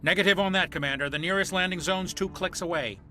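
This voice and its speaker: Sharp, high-voiced male spaceship pilot. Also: quick pace. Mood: tense, exasperated. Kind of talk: sci-fi game dialogue.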